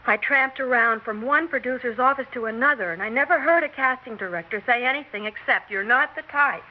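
A woman's voice: a little high, a little fast, natural voice. A young woman playing a persistent, talkative aspiring actress, earnest and flustered, in 1960s radio drama style. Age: young adult. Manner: earnest, flustered, stammering plea. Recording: dull vintage radio recording with hiss.

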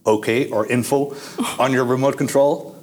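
A man's voice: medium pitch, steady tone, unhurried, darkish tone. A young Dutch man answering interview questions in a casual, hesitant way, with many fillers and restarts. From Netherlands. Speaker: guest.

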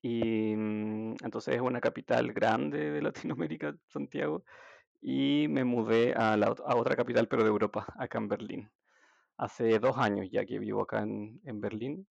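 A man with a Chilean Spanish accent, chatting as a podcast guest in a relaxed, hesitant storytelling way. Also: mid-range voice, moderate pace, creaky, no room sound. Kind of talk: casual interview chat. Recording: dull, dry audio.

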